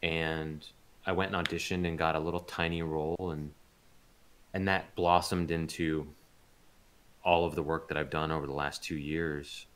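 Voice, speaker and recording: A man speaking as an interviewed voice actor, chatting casually in a rambling, informal way and pausing to think. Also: extremely low pitch, level tone, clean tone. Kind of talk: casual chat in a livestreamed interview.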